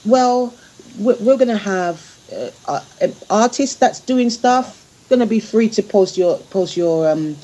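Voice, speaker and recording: Mellow-voiced woman chatting as a guest. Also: medium pitch, animated, measured pace, poor audio. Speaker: guest, music group organiser. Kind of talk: casual radio interview talk.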